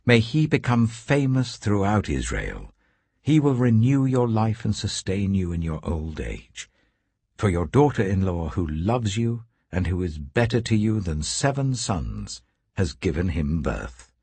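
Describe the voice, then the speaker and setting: British man voicing joyful townswomen, exultant blessing, declamatory, husky, lifted animated pitch, scripture audiobook. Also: measured pace, no room sound, heavily acted.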